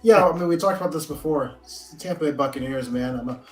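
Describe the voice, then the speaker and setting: A man with a slightly high voice chats casually as a live stream host. He sounds like an enthusiastic fan. The room is clearly echoey, with faint music underneath. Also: fast, darkish tone. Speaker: podcast host. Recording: roomy echo, faint music.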